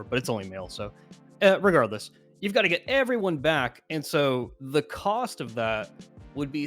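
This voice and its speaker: A man's voice: medium pitch, a bit bright. A man giving casual gaming commentary as a guest, explaining his opinions at length.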